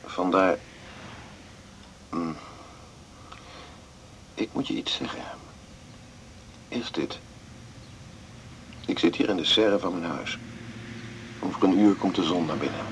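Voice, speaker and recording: A deep, gravelly, melancholy man. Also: a little hiss or hum. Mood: hesitant, wistful. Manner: halting, reflective, intimate. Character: melancholy, tender man recording a farewell cassette message. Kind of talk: naturalistic 1980s radio drama monologue.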